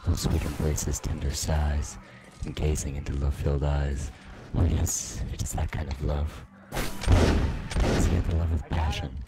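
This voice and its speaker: A man reading a romantic poem aloud in a slow, theatrical, dramatic way. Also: a bass voice, flat delivery. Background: music.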